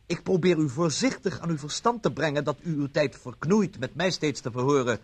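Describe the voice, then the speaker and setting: An actor playing a cheeky, unflappable bon vivant suspect in a radio play, ironic and mock-polite. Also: male voice, on the high side, lively intonation, fairly quick, breathy edge. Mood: sardonic, insistent.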